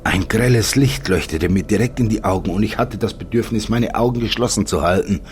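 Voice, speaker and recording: A man reading a scripted first-person story as a wistful narrator, in a measured way with dramatic pauses. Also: on the low side, a little hiss or hum, close to an everyday voice. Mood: tense, sober. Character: wistful male narrator mourning an imagined life.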